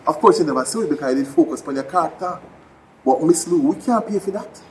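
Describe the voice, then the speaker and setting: Heartfelt Jamaican man, slightly high voice. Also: expressive, fast, a little hiss or hum. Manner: heartfelt, addresses the late honoree directly. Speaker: actor. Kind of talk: spoken tribute monologue.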